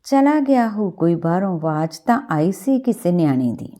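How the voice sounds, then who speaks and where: A woman storyteller acts out a village mother from a Punjabi storybook, wistful and homely. Her voice is soft and mellow, with a Punjabi accent and a plaintive turn. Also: lowish voice, lively intonation, normal speed, natural voice.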